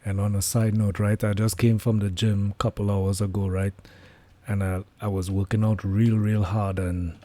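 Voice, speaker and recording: Hoarse man, low and mellow, flat delivery, relaxed live trading commentary. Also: moderate pace, no echo. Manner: relaxed, thinking aloud with many fillers. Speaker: trading livestreamer.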